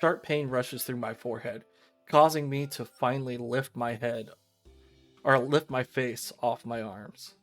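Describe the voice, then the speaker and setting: A man's voice: mid-range voice, steady tone, fairly bright tone. Guy streaming a visual novel, casual n rambly, stops and starts a lot, bit of game music behind. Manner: rambling, halting explanations.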